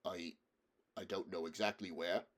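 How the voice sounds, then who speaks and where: A man's voice: deep voice, steady tone, normal speed, pitched below the performer's own voice, natural voice. A man voicing a stubborn male marsh creature in a storybook, speaking stoutly and short of breath.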